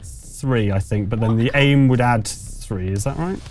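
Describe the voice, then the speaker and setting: A man's voice: mid-range voice, unhurried, darkish tone. Male game master over background music. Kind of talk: improvised tabletop game narration and rules talk.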